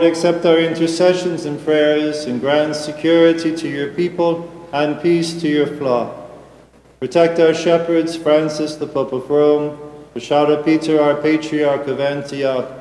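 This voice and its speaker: A middle-aged man, a priest, leading liturgical prayers at the altar in a slow, solemn, half-sung intoning. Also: a little high, mellow. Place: large church sanctuary at the altar. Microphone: far camera across the church. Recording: echoey church with long reverb.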